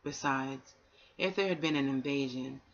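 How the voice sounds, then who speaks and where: A woman's voice: rumbling low, normal speed. A woman voicing a skeptical, unworried wild boar in a bedtime story, reasoning dismissively. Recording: dull, lo-fi home recording.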